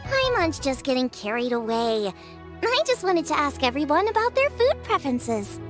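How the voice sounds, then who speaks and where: A woman voicing a tiny, excitable girl companion in a video game, who talks about herself in the third person. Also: high voice, moderate pace, faint music behind. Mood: chirpy.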